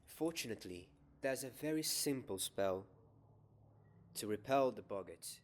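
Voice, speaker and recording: Gentle wizard professor, played by a young man for ASMR roleplay: soft, slightly low, unhurried, encouraging, slipping into whispers. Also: lively intonation, a little hiss or hum. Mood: calm, encouraging. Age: young adult. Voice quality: soft-spoken, often dropping into whispers. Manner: measured, encouraging teacher, repeating words softly. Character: gentle wizard professor teaching a magic class.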